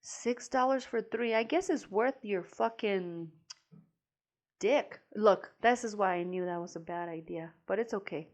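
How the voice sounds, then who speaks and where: A woman's voice: medium pitch, measured pace, darkish tone. A young woman co-hosting a casual podcast from a small shop, laughing often and swearing casually as she teases her friend. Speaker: podcast co-host. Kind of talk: casual chat.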